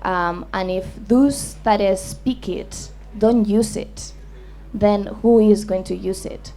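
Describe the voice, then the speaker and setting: A woman from the Basque Country, a native Basque speaker, giving an unscripted answer on a panel in an earnest, deliberate way. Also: mid-range voice, steady tone, dark tone, soft background music. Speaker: poet on a festival panel.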